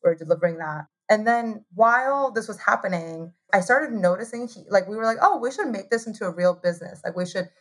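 A woman's voice: mid-range voice, animated, brisk, hardly any pauses. A woman in her thirties from Taiwan, telling her story as an interview guest in a chatty way, laughing often and filling with "like". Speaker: guest, hot sauce co-founder.

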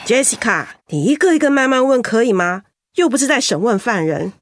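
A woman's voice: fairly high pitch, expressive, brisk, no echo, studio quality, lightly acted. An actress playing a sensible, motherly senior colleague in a Mandarin radio drama, scolding yet caring, with a Taiwanese accent. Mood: calming, firm.

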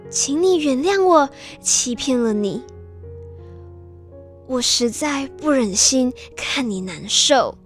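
A woman's voice: high voice, expressive, takes it slowly. A woman playing a devoted, heartbroken young woman in a radio drama, speaking tenderly and sorrowfully. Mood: longing, grieving. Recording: music behind the voice.

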